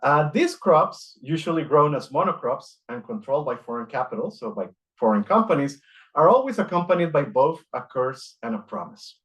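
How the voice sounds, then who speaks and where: Measured male academic lecturer from Costa Rica. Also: a little high, animated, a bit bright. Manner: reads from notes, measured, frequent filler "uh". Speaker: guest lecturer.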